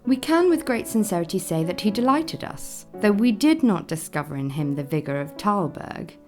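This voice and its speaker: An actress voicing a formal Victorian newspaper critic, reading deliberately in a radio play. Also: female voice, medium pitch, sing-song, darkish tone, faint music behind, lightly acted. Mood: formal, appraising. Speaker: reader of newspaper notices. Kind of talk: dramatised reading of 19th-century newspaper.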